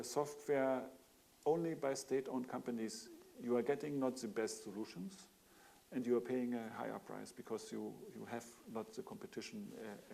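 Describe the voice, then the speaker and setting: A man's voice: medium pitch, vocal fry, a little mellow. A man with a German accent speaking as a panelist, hesitant with many fillers and restarts. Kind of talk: panel discussion.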